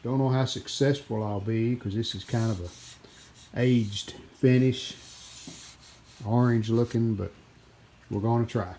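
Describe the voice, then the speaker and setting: A man with a mid-range voice hosts a do-it-yourself video. He narrates the hands-on work casually and plainly, without a script, often pausing partway through a sentence. Noticeable background noise and room ambience sit behind his voice. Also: medium pitch, steady tone, mellow. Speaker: host of a radio restoration video channel.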